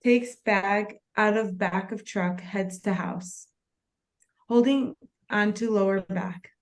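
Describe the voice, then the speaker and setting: A woman's voice: medium pitch, slow pace. Woman reading screenplay stage directions. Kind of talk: plain scripted narration at a table read.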